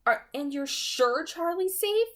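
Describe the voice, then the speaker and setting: Woman voicing a high, tender, anxious young woman. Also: expressive, slow, full character voice. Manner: breathy, soft, earnest. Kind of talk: audiobook-style character reading.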